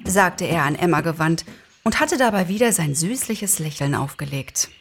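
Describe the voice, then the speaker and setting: A woman narrating a story in a lively, dramatized reading. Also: sits low, normal speed, a little hiss or hum. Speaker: narrator and reader.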